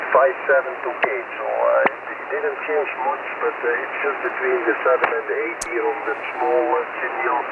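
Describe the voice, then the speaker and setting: Male ham operator, gravelly, halting and chatty, distant on a thin shortwave signal buried in static. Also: medium pitch. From Europe. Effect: shortwave radio transmission. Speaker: amateur radio operator. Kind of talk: casual ham radio contact. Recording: narrow-band shortwave radio, loud static hiss.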